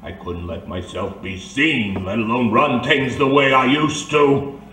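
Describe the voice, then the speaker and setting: Man acting a slow, menacing, vengeful crime boss. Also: mid-range voice, lightly acted. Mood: bitter, menacing. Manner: menacing, theatrical, swinging from shouts to whispers. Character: disfigured vengeful crime boss, bitter and unhinged. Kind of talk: old-time radio detective drama acting. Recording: echoey room, faint background noise.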